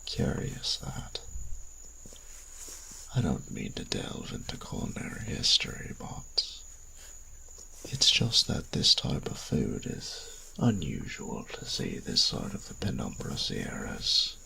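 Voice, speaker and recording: Very deep, gravelly, sinister courtly being. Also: male voice, wildly swooping pitch, sharp tone, slight background noise, exaggerated voice, poor audio. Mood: curious, courteous. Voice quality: very deep, gravelly, breathy near-whisper. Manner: formal, measured, archly polite, teasing. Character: sinister, courtly, teasing dark magical being, male. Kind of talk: fantasy audio roleplay acting.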